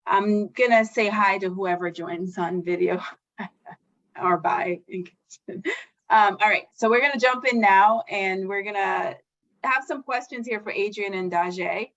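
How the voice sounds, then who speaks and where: A woman's voice: medium pitch, unhurried, a bit bright. A woman hosting a virtual panel as facilitator, talking in a friendly, chatty way with many fillers. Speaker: facilitator, board member. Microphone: video call. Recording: slightly dull video call audio.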